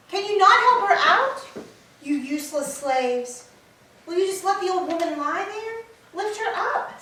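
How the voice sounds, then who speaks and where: A woman's voice: extremely high pitch, fairly bright tone. A woman playing a scolding, commanding figure in a staged reading of Greek tragedy, declaiming orders to servants. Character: scolding onlooker ordering servants to help the fallen queen. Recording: distant, echoey hall with background noise.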